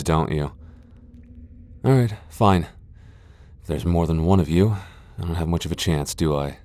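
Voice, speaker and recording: A man's voice: extremely low pitch, a little mellow, no room sound. A man playing a haughty, centuries-old vampire lord in a fantasy ASMR roleplay, sardonic, taunting and theatrical.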